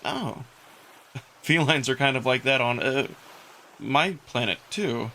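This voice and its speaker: A man's voice: mid-range voice, lively intonation, slow pace, pushed and bright, a little hiss or hum, full character voice. A man playing a plucky, easily bewildered young human among aliens in a visual novel, speaking casually. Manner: incredulous, casual. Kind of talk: visual novel character acting.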